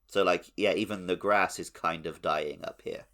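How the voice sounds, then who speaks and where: A man's voice: sits low, fairly even intonation, moderate pace, bright, forceful tone. A man co-hosting a podcast, talking casually and descriptively about video games. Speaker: podcast host. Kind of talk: casual video game discussion.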